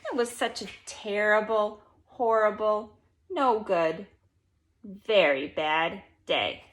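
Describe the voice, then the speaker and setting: A woman in her forties reading a children's picture book aloud expressively, with deliberate, emphatic phrasing. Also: mid-range voice, slight background noise. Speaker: storybook reader. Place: living room at home, indoors.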